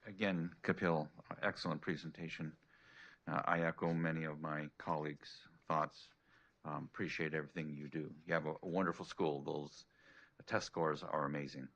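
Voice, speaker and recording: A man's voice: very deep voice, little pitch movement, normal speed, a bit bright, highs cut off. A man chairing a public board meeting in an informal style, giving remarks and moving through items.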